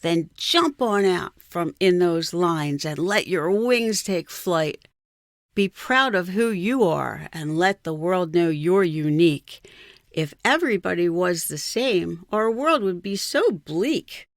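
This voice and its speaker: A woman reading her own poem in a measured recitation. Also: fairly low pitch, expressive, no echo, clean studio sound.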